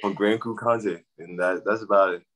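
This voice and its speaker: A young man from Liberia with a Liberian accent, talking casually as a guest on a video call, halting and often repeating his words. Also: fairly low pitch, fairly even intonation, fairly bright tone, rough recording. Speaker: guest, soccer player. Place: room at home. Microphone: webcam on video call.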